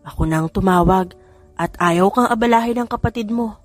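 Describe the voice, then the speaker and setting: A woman's voice: medium pitch, moderate pace, faint music behind, natural voice. A woman voicing a worried, apologetic older mother in an audiobook story.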